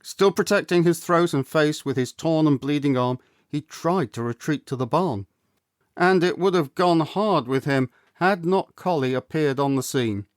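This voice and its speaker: A man reading a story aloud as an audiobook narrator, expressive and theatrical, with measured delivery. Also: on the high side, no echo, studio quality. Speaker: audiobook reader, actor.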